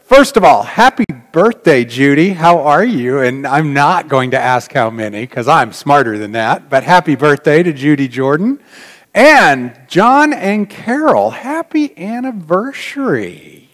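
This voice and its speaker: A middle-aged man speaks as a pastor in a big, reverberant church. His fairly high voice swings up and down expressively. He addresses the congregation informally, with a folksy, dry manner and long pauses. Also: big pitch swings, a bit bright. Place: large church sanctuary, indoors.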